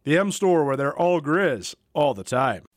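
A man's voice: on the high side, very animated, measured pace, very noisy. An American man hosting a sports talk radio show.

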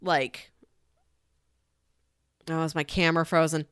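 A woman's voice: deep voice, steady tone, slow pace, a bit bright, clean tone, no room sound, studio quality. A woman casually discussing a movie as a podcast host, talking through her opinions.

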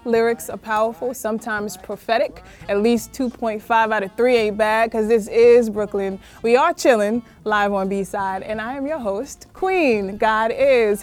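A woman hosting a live studio interview podcast, playful and lively, fond of wordplay, speaking from a radio studio. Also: a little high. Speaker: podcast host.

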